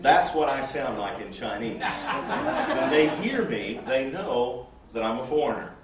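A man's voice: medium pitch, lively intonation, unhurried, distant mic, heavy background noise. Man speaking from afar in a roomy church. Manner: folksy and humorous, with many "uh" fillers. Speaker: missionary. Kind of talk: church missionary report. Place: church sanctuary.

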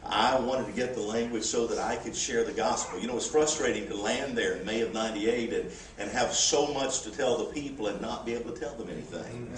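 A man's voice: medium pitch, brisk, few pauses, reverberant, recorded from a distance, a little hiss or hum, rough recording. A missionary in his forties, giving a folksy, humorous church report with frequent "uh" fillers. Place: church sanctuary.

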